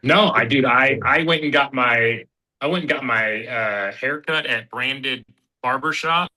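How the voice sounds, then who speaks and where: A man's voice: mid-range voice, unhurried, pushed and bright, low-quality recording. A man in his thirties from Kansas City, a fighter chatting casually as an interview guest, rambling with fillers and restarts. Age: 30s. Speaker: guest, bare-knuckle fighter.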